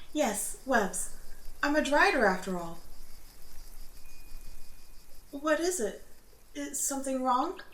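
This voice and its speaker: A woman voicing a gently teasing, motherly drider, a spider woman of the forest, in an ASMR roleplay. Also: high-pitched, heavily acted. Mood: amused, reassuring. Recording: roomy echo, audible background noise.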